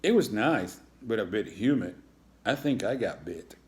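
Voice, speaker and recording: A man's voice: on the low side, lively intonation, unhurried, darkish tone. A man reads as a cheerful iguana dad in a children's storybook. He is athletic and upbeat, talking in a hearty, encouraging fatherly way.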